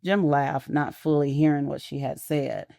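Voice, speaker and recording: Low-voiced woman narrating a drama. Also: steady tone, measured pace, gravelly, dark tone, no room sound, studio quality. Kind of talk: scripted audio drama narration.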